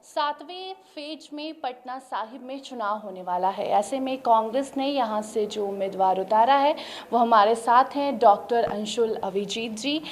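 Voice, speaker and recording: Higher-voiced woman news interviewer. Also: a little slow. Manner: direct, formal questioning.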